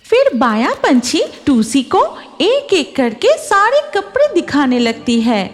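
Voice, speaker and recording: A woman's voice: high-pitched, big pitch swings, slow. A woman narrating a scripted cartoon story for children, with music behind her voice.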